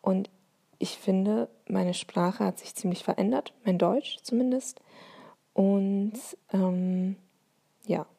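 A woman's voice: medium pitch, slow pace, creaky, dark tone, slightly rough. A woman from Bavaria with a light Bavarian accent, thinking aloud in a solo podcast monologue, with many hesitations and fillers. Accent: light Bavarian German.

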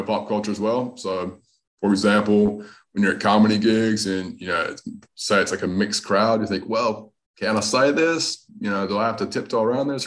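A man from North Carolina with a mixed Southern US and Australian accent, a comedian chatting casually as a podcast guest. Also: fairly low pitch. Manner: laid-back, says man and dude a lot.

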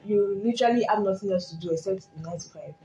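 A Nigerian woman in her twenties, a nursing student, speaking softly as a podcast guest in a casual chat. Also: mid-range voice, quick pace, echoey room, soft background music, low-quality recording. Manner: soft-spoken, defends herself animatedly. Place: room in a village house.

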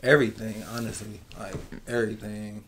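A soft-voiced, raspy man from Cleveland, a rapper as guest, chatting in a laid-back, slangy way full of "like". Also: lowish voice, level tone, a little fast. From Cleveland, Ohio. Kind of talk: casual interview chat.

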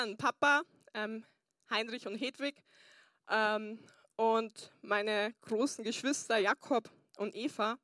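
A woman's voice: a little high, a bit bright, no room sound, studio quality. A young woman pastor preaching a sermon and telling her personal testimony, earnest with frequent fillers. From Bavaria, Germany.

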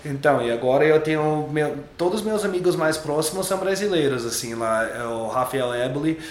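A man's voice: a little high, expressive, fairly quick, roomy sound, slight background noise. A young adult man, a native English speaker, chatting casually as an interview guest, loose and repetitive, restarting his words. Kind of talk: relaxed interview chat.

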